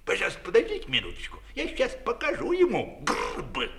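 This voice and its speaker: A man's voice: very high-pitched, wildly swooping pitch, fairly quick, vocal fry, noisy background, pitched above the performer's own voice, full character voice. A man voicing a lordly djinn of the desert in a fairy tale, sly, mock-polite and scolding. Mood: amused, curious.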